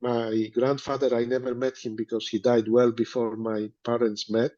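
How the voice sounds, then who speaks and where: A man from Poland with a Polish accent, speaking in an interview as a guest and pausing often with fillers and restarts. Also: medium pitch, little pitch movement, warm tone, dull audio. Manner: hesitant, many fillers and restarts. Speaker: museum director, guest. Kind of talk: interview, casual talk.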